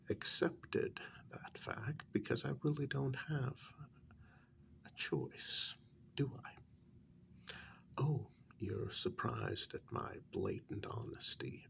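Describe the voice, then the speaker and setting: Slow, creaky, whispering man as a calm mediator. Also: on the low side, exaggerated voice. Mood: subdued, frank. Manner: slow, hesitant, polite, measured. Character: calm, courteous, bluntly honest human mediator among vampires. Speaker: ASMR role-play performer. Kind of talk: soft-spoken ASMR role-play.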